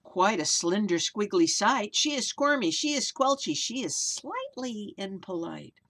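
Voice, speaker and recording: A woman's voice: mid-range voice, big pitch swings, a little slow, fairly bright tone. An older woman reading a children's picture book aloud expressively, with casual asides, in a small room at home. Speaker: children's story reader.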